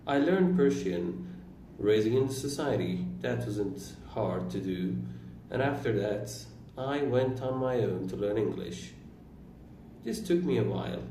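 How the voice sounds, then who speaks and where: A man in his twenties whose first language is Turkish, talking slowly about his own life in a halting way, pausing and correcting himself. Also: on the low side, lively intonation, dark tone. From Iran. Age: 20s. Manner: slow, halting, with pauses and self-corrections. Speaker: vlogger. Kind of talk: reflective personal monologue. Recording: roomy, distant mic with faint room noise.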